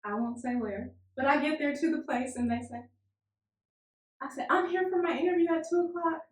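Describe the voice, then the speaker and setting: A woman co-hosting a podcast as a family therapist, telling stories in a lively way and laughing often. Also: sits high, a little fast. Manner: animated storyteller, laughs often. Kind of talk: casual podcast chat. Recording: echoey room.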